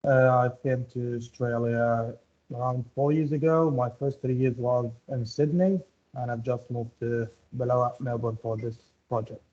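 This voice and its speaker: A man from Syria introduces himself to an online class, polite and relaxed. His voice comes through a dull, lo-fi call. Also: medium pitch, moderate pace. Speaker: student. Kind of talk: self-introduction. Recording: dull lo-fi video call audio.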